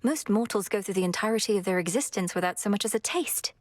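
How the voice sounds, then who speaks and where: An earnest young witch princess, voiced by a woman, polite and formal in her phrasing. She speaks at an even middle pitch with a composed, careful delivery. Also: fast, close to an everyday voice. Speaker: game character.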